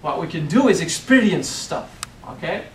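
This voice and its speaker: A man's voice: a little high, very animated, moderate pace, far from the mic, a little hiss or hum. French-accented man teaching in an echoey room. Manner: playful, keeps checking in with "okay?". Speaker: spiritual teacher. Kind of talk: workshop talk. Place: hotel meeting room. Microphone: far camera.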